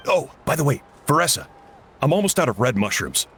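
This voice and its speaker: A jovial uncle cook from a video game, chatty and a little dramatic. His voice rings bright and swings lively up and down, over faint game noise. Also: medium pitch, a little fast. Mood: hearty. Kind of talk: game voice acting.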